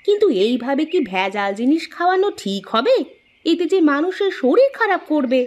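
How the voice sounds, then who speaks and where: Woman playing a high-pitched village wife. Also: big pitch swings, brisk, darkish tone. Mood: worried. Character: caring, worrying village milkman's wife. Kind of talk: scripted cartoon dialogue.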